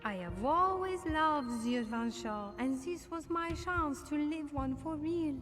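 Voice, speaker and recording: Give me an eager young French fan with a French accent, slightly high, with a long reverb. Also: female voice, expressive, measured pace, a bit bright, soft background music. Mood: earnest. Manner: broken formal English, eager. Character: cheerful young French woman, adoring fan. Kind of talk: video game dialogue.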